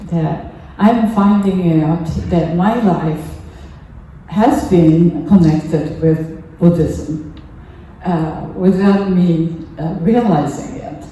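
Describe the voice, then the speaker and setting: An older woman from Japan, a native Japanese speaker with a Japanese accent, gives a halting, earnest personal talk as a lay speaker at a temple service. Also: on the low side, mellow. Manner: halting, earnest, pausing often mid-phrase. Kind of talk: personal testimony talk to a congregation. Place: temple hall during a service. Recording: echoey room, distant mic, faint noise.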